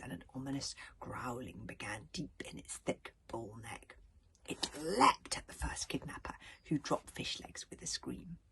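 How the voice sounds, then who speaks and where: A woman reading a children's story aloud with dramatic emphasis, occasionally stumbling over her words. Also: deep voice. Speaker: author reading own book aloud.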